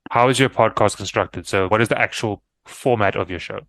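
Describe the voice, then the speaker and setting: A man's voice: on the low side, a little fast, a bit bright. A man co-hosting a podcast, explaining his opinions in a casual, conversational way and asking questions. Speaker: podcast co-host and interviewer.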